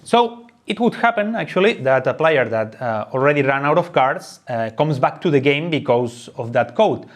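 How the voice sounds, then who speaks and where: A man's voice: fairly high pitch, expressive, normal speed, few pauses. A man presenting an unscripted how-to explanation of a game. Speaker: presenter of a board game tutorial.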